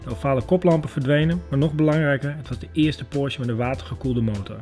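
A man's voice: medium pitch, quick pace, a little mellow, faint music behind. A man with a Netherlands Dutch accent, working as a host and interviewer. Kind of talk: scripted narration and interview questions.

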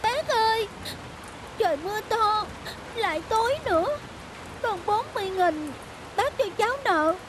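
A woman's voice: very high-pitched, expressive, measured pace, pauses often, noisy background. A woman voicing a polite, pleading young girl in a cartoon. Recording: music and rain under the voice.

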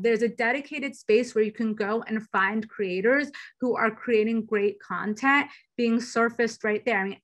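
A woman in her thirties talking as a guest in a fireside chat over a video call from a small room, upbeat and enthusiastic. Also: on the high side. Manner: upbeat, enthusiastic, quick and lively. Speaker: platform executive guest. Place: small bedroom indoors. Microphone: laptop webcam video call.

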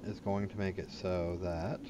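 A man hosts a gaming video in a fairly low voice. He comments casually on his play in a plain, folksy way. Game ambience is clearly audible behind him. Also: flat delivery, a little slow. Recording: clear background game noise.

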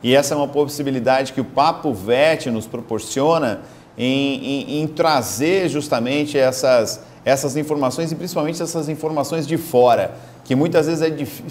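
An earnest man in his thirties with a Brazilian Portuguese accent, at an ordinary pitch for a man. He gestures as he talks and fills pauses with "é". A lapel mic picks him up on a studio set. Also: slight background noise. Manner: earnest, lively gestures, frequent fillers. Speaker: guest professor in the studio. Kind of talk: closing remarks on a talk show.

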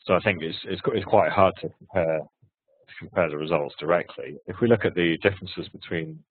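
A man with a very low, husky voice, hoarse from hay fever, talks through his research in a hesitant way full of restarts. The sound is dry and tinny, like a webinar call over a thin line. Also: a little fast, dry recording. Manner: hesitant, many restarts and fillers. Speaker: research presenter. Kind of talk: webinar talk and Q&A answers. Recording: tinny phone-like webinar audio.

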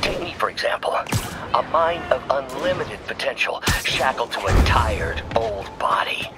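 An actor playing an excitable older male scientist mentor, speaking grandly about his ideas with dramatic pauses. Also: mid-range voice, loud music behind the voice, natural voice. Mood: excited.

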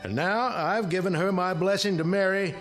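A man's voice: high-pitched, moderate pace, music in the background, natural voice. An actor playing a proud farmer father giving a hearty welcome speech. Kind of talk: radio drama acting.

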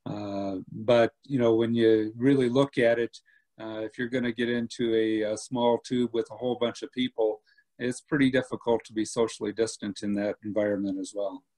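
Man in his fifties, airport director, measured and hesitant, laptop webcam audio, home room. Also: fairly low pitch, rough recording. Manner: measured, steady, many filler "uh"s. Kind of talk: video call interview answers. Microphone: laptop webcam on video call.

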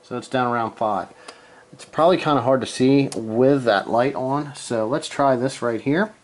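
A man giving a casual, hands-on gear demonstration, halting and unscripted as he thinks aloud from behind the camera in a room at home. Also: medium pitch. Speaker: amateur radio hobbyist, presenter. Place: small room at home, indoors.

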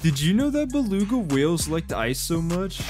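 The high, lively male narrator you would hear over music in an online compilation of short viral clips. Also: moderate pace. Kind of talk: scripted reaction narration.

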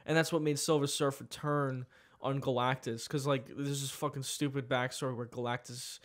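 A man's voice: medium pitch, little pitch movement, normal speed. A young man hosting a podcast in casual chat, profane and rambling, laughing often. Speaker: podcast host.

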